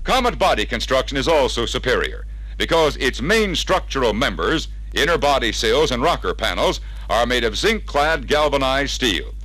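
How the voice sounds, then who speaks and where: A man's voice: mid-range voice, fairly bright tone, low-quality recording. An American man reading scripted 1960s sales-film narration in a polished, emphatic announcer manner, on a vintage record.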